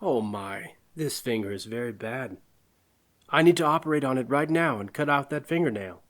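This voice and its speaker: A man acts a kindly old physician in an audio drama. His voice sits at a middle pitch. He talks courteously and earnestly, with measured devotion. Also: expressive, a bit bright, close to an everyday voice. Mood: concerned, gentle. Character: kindly, devout, old retired family doctor.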